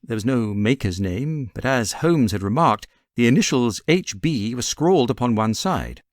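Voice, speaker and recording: A man's voice: mid-range voice, animated, normal speed, no echo, studio quality. A man with a British accent reading a Victorian detective story as an audiobook narrator. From Britain.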